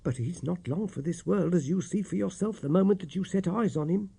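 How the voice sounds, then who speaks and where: A man's voice: high-pitched, brisk, creaky, mellow, husky, pitched above the performer's own voice, heavily acted. A man voicing a long-suffering London landlady in an audiobook, anxious, earnest and deferential.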